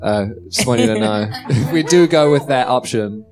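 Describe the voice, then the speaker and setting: A man's voice: medium pitch, normal speed, over music. A man, playing a tabletop game live with casual improvised banter. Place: small live venue.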